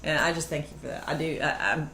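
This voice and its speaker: A woman's voice: sits low, steady tone, quick pace, pushed and bright, slight background noise. A middle-aged woman co-hosting a casual talk about marriage, telling stories and acting out what people said. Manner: chatty storytelling, acting out quotes. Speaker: co-host. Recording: echoey room with faint ambience.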